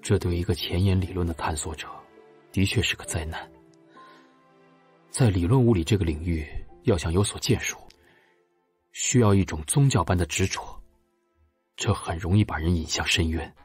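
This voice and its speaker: A sober, troubled scientist in an audio drama. His voice is deep and very husky, and he speaks in an earnest, measured way over faint music. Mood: solemn. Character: sober, thoughtful, troubled male nanomaterials scientist.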